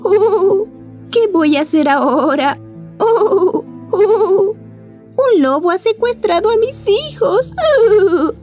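An anxious, loving cartoon mother goat played by a woman. Her very high voice swoops widely and stretches out emotional words, with faint music behind. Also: lively intonation, mellow, exaggerated voice. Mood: distraught. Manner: emotional, drawn-out exclamations. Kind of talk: children's animated fairy tale acting.

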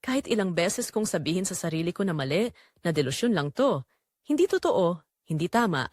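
Expressive woman narrating a confessional drama. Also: lowish voice, a little fast, dry recording, studio quality. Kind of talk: emotional first-person scripted story narration.

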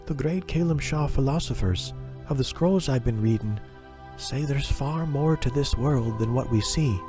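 A man's voice: medium pitch, pitched above the performer's own voice. A man voicing an earnest, thoughtful poor boy who dreams of the sea.

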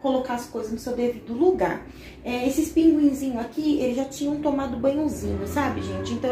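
A woman's voice: fairly high pitch, dark tone, slight background noise. A woman in her thirties chatting casually to her viewers while cleaning a small home kitchen, filming on a handheld phone and checking in often with "okay?". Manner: chatty, uses lots of diminutives and "tá?". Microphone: handheld phone camera.

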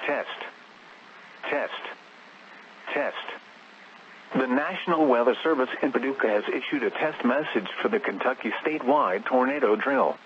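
A man reading scripted emergency test announcements as a weather radio announcer, in a formal, measured, synthetic-sounding delivery over a staticky broadcast. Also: medium pitch, lo-fi sound, heavy background noise.

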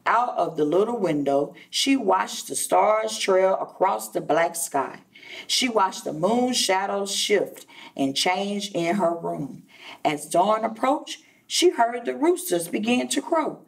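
A woman teacher reading a children's storybook aloud expressively, addressing young listeners in a deliberate way. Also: mid-range voice, lively intonation, gravelly, far from the mic, rough recording. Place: indoor classroom.

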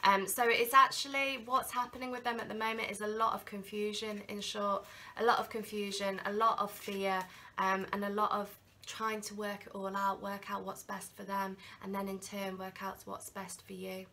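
A woman's voice: mid-range voice, moderate pace, slight background noise. A woman in her thirties giving a chatty tarot reading to camera in a small candlelit room, battling a cold. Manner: chatty, animated hand gestures, slips into quoted inner voices. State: has a cold. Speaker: tarot reader. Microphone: camera in front at desk distance.

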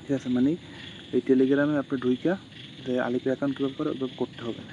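A man rattles quickly through a phone tutorial, keeping his pitch flat and repeating himself as he goes. The sound is dull and lo-fi, as if captured on a phone mic. A steady insect-like hum sits clearly in the background. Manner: rambling, repeats "ঠিক আছে" between steps. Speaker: tutorial host. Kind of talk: casual screen-recorded walkthrough.

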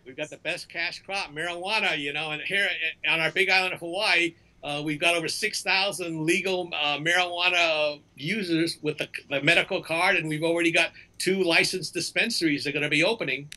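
A man's voice: fairly high pitch, steady tone, brisk, hardly any pauses, bright, forceful tone, low-quality recording. A man in his sixties chatting casually on a video call, laid-back and full of "you know". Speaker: panel guest. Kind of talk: casual chat.

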